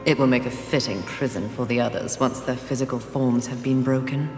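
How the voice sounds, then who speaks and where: A woman's voice: rumbling low, steady tone, fairly quick. A woman playing a haughty, sardonic apocalyptic horseman in a video game, with a dry, contemptuous delivery. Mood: confident. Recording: echoey reverberant space, loud music behind.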